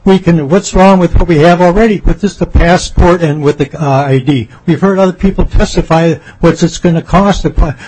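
A man in his seventies giving rambling, halting public testimony at a committee hearing. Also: fairly high pitch, darkish tone, slightly rough, poor audio. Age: 70s. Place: legislative committee hearing room.

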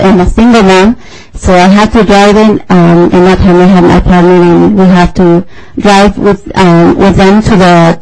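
Gravelly-voiced woman, careful English. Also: fairly low pitch, darkish tone, rough recording. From Mexico. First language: Mazahua. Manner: careful, searching for words in a third language. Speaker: testifier at a public hearing. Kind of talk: public testimony. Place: legislative committee hearing room.

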